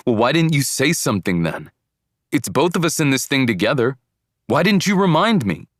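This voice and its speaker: A man's voice: lowish voice, sing-song, moderate pace, a bit bright, no room sound, clean studio sound. A man voicing a hurt, indignant teenage boy arguing in an audiobook story. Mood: indignant, upset.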